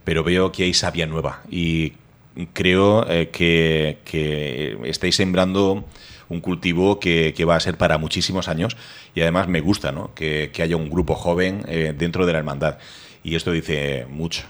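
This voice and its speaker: Male radio host, very deep voice, chatty and loose. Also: steady tone, normal speed. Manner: chatty, rambling with fillers. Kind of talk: radio interview.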